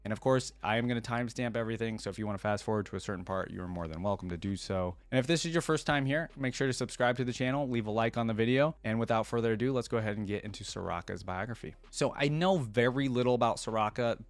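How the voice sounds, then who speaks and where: A man's voice: mid-range voice, fast, hardly any pauses, dry recording. A man hosting a reaction video, switching between informal commentary and reading text aloud. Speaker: reaction channel host.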